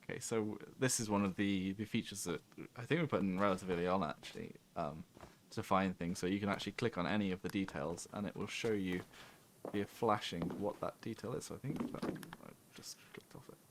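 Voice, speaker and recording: A man's voice: sits low, a little fast, slight background noise. A man, a game developer, talking casually and chattily during a gameplay panel, often laughing as he explains things. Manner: chatty, laughing, many fillers. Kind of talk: casual panel chat while playing.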